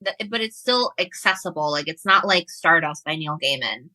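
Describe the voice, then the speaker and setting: A woman's voice: low-pitched, expressive, moderate pace, sharp tone, no room sound. A woman speaking as a guest author in a casual discussion about books.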